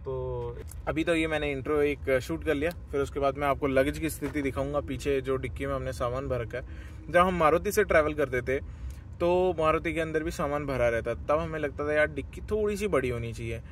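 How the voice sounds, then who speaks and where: A man's voice: a little high, a little fast, slight background noise. Young male travel vlogger, casual and rambling, talking to a handheld camera, with a bit of a lift in his voice. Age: young adult. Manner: chatty, rambling, mixes English phrases into his speech. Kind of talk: casual vlog talk to camera. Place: inside a car on a road trip; hotel room, indoors. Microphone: camera held at arm's length.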